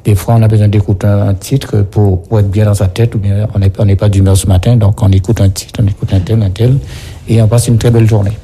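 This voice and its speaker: A man's voice: lowish voice, little pitch movement, quick pace, mellow, breathy edge. A man in casual conversation, explaining things as a guest and often repeating and rephrasing himself. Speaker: guest, songwriter for singers.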